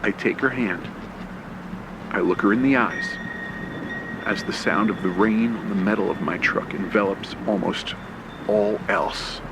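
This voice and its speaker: A man's voice: on the low side, a little slow, pauses often, noisy background. A man narrating a horror story in the first person, tense and suspenseful, in an old radio play style. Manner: tense, suspenseful, short clipped phrases. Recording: loud rain, storm and engine effects. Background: rain, thunder and vehicle sound effects.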